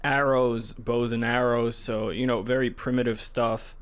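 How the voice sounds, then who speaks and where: A man's voice: medium pitch, flat delivery, measured pace, clear, resonant tone. A man co-hosting a podcast, chatting casually in a hesitant, loosely rambling way. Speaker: podcast co-host. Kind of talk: casual chat about video games.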